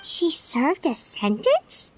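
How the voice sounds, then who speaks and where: Super high squeaky little girl sidekick for an anime game, cheerful n chatty, bouncy pitch. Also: slow, slight background noise, full character voice, poor audio. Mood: curious. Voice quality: squeaky, childlike. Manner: excitable, speaks of self in third person. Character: tiny floating cheerful girl companion, childlike and talkative.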